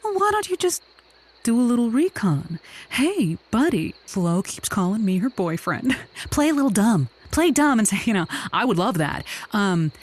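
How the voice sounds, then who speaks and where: A woman's voice: on the high side, very animated, moderate pace. An actress playing a folksy, scheming woman who hosts a lonely hearts radio show, with a theatrical, coaxing manner in a comedic audio drama. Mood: encouraging. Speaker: radio call-in show host.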